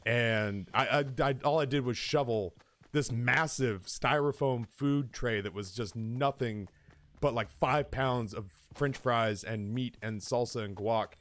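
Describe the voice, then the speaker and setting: A man streaming games who tells comic stories casually, in an animated, exaggerating and profane way. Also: medium pitch, a little slow. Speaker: game streamer. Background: music in the background.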